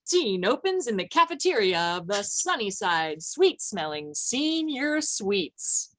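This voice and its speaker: A woman's voice: mid-range voice, sing-song, slow pace, a bit bright, a little hiss or hum. A woman acting as organizer and announcer of a show.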